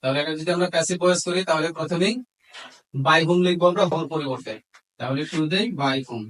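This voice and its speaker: Higher-voiced Bangladeshi man teaching grammar. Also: a little fast, a bit bright, low-quality recording. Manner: mixes Bengali with English terms, dictates piece by piece. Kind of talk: instructional lesson, explaining step by step.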